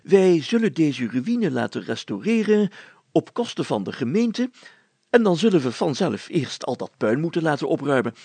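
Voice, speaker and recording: Picture a pompous village mayor out of a storybook, acted out by a male reader. He declaims grandly and takes offence easily. His voice is pushed up high, with a rough, husky grain. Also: darkish tone, no echo, full character voice. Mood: decisive. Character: pompous, blustering village mayor with poetic airs. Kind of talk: storybook character voice.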